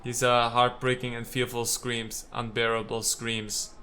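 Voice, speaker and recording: A man's voice: medium pitch, level tone, measured pace, bright, forceful tone, a little hiss or hum. A man doing let's play commentary, reading game text aloud and reacting with sarcasm and exasperated swearing. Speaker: let's player.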